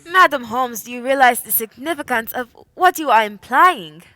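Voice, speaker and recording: A woman's voice: high-pitched, expressive, normal speed, bright, forceful tone. A woman acting a stern, formal and commanding French police prefect in a radio play. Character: stern female French police prefect presiding over a case.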